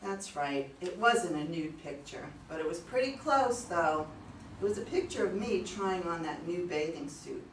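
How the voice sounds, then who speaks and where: A woman's voice: fairly low pitch, lively intonation, normal speed, lightly acted. A woman playing an anxious, indignant wife who believes she is watched online, in a staged play reading. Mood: defensive. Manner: defensive, exclamatory. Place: room at a live readers' theater reading. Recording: echoey room, distant mic, faint noise.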